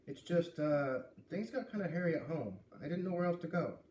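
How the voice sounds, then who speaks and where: A man's voice: on the high side, expressive, fairly quick, darkish tone, pitched above the performer's own voice, natural voice. Man playing a tough teenage girl, laid-back, slangy, offhand, storybook reading, roomy distant sound. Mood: troubled, slangy. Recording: roomy, distant mic with light noise.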